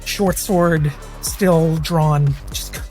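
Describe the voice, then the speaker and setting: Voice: mid-range voice, fairly even intonation, extremely slow, darkish tone, faint music behind. A player in a tabletop game, talking casually and thinking aloud about their character's moves.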